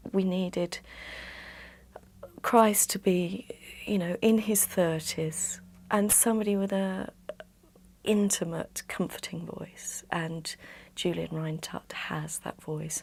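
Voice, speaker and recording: Lowish, creaky woman. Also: steady tone, takes it slowly, darkish tone. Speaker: sound artist and composer. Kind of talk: interview talk.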